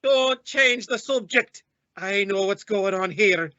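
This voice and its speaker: A woman's voice: mid-range voice, takes it slowly, bright, forceful tone, no echo. A woman playing a nosy, strict middle-aged landlady in an old-time radio comedy, prying and theatrical. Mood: suspicious. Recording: dull, boxy lo-fi audio.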